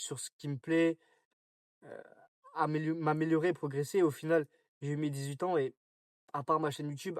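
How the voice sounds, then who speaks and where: A nineteen-year-old man from France musing aloud to his viewers, with a slightly high voice. He talks in loose slang and keeps restarting his sentences. The sound is close and dry. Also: dry recording. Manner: slangy, rambling, many fillers and restarts. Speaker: vlogger. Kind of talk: casual spoken monologue.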